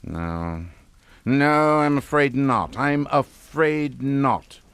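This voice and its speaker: A man's voice: mid-range voice, expressive, extremely slow, a bit bright, clean tone, full character voice. An actor playing a pompous, domineering middle-aged English father in a radio suspense drama, scolding and emphatic. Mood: stern, urgent.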